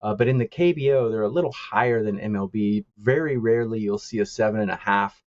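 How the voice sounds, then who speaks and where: Low-voiced man, halting with fillers. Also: no room sound, lo-fi sound. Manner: halting, many uh fillers, chuckles often. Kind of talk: casual call-in chat. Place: lives in East Asia.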